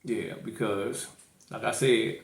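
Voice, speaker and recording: A man's voice: fairly low pitch, fairly even intonation, slow. A man with a St. Louis accent hosting a podcast, pressing his point in a casual debate and repeating himself. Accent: St. Louis, Missouri. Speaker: podcast host. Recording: echoey room.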